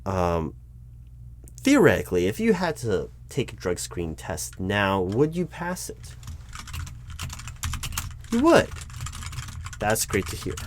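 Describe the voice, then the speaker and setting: A man playing a job interviewer in an ASMR roleplay, patient but baffled, with a dry, halting delivery full of restarts. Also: lowish voice, huge pitch swings, slight background noise. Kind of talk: ASMR roleplay, spoken aloud, not whispered.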